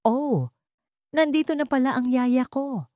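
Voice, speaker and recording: A woman's voice: sits high, expressive, unhurried, a little mellow, no room sound, voice pushed up. A woman storyteller puts on a spoiled college girl from an audio drama story. She is bossy and mocking, with a raised voice that swings about. The sound is dry. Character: haughty rich college girl bossing her stepsister.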